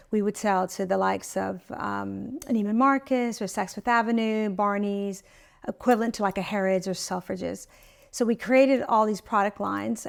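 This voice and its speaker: A woman's voice: mid-range voice, gravelly. A middle-aged woman from Italy, an interior designer, talking chattily and enthusiastically with many fillers as a guest in a client's house. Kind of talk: conversational interview.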